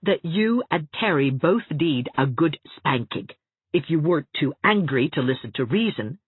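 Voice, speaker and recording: Sensible young woman voiced by the narrator, dry and brisk; tinny close sound. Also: mid-range voice, expressive, slightly rough, no room sound. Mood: exasperated.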